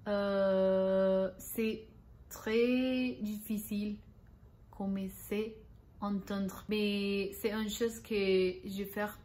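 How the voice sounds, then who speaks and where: A woman with a Spanish accent, a non-native speaker vlogging unscripted, halting and searching for words as she corrects herself. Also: mid-range voice, steady tone, a little mellow. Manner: laughs at her own mistakes. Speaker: language-learning vlogger. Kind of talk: unscripted learner's update vlog.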